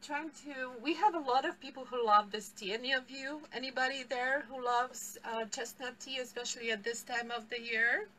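A woman's voice: high-pitched, moderate pace, hardly any pauses, pushed and bright, recorded from a distance, slight background noise, rough recording. A middle-aged woman with a Polish accent teaching a live tea tasting class in a tea shop, picked up by a camera across the table, explaining and laughing often. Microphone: far camera across table.

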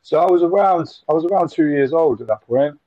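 Halting man in his forties. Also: a little high, no room sound. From Nottingham, England. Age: 40s. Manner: halting, repeats words, frequent fillers. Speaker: interview guest. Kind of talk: casual storytelling interview. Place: room at home with window blinds. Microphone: video call. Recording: muffled dry video call audio.

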